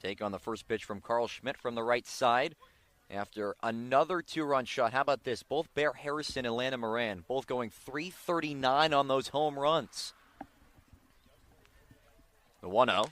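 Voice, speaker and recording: An American man doing live play-by-play baseball commentary on the radio, with excited calls on big plays. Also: mid-range voice, unhurried, no echo.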